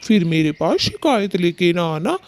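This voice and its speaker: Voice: mid-range voice, big pitch swings, moderate pace, a little mellow. A performer voicing a male cartoon bird with a theatrical, complaining and pleading way of talking. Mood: aggrieved. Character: dramatic male cartoon bird, husband or neighbour, plaintive. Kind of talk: Hindi children's cartoon story dialogue.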